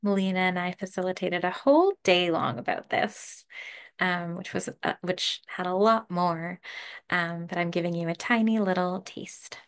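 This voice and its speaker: Woman, meditation teacher, casual talk, a little gravelly, easy laughs, lots of ums, video call. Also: lowish voice, normal speed. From Canada. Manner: relaxed, laughs often, many fillers.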